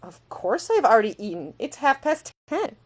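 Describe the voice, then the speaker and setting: A woman plays a young lady of twenty-three in an audiobook. She is prim, brisk and curious, speaking in precise, formal sentences. Her pitch moves with a lot of animation. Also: very animated. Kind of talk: audiobook character dialogue.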